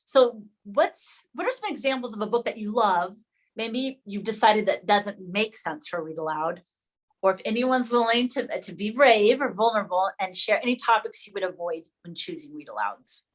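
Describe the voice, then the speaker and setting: A woman's voice: mid-range voice, lively intonation, moderate pace, low-quality recording. Woman leading an informal webinar, thinking aloud. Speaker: educator leading a webinar discussion. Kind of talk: informal presentation to colleagues. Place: online video call.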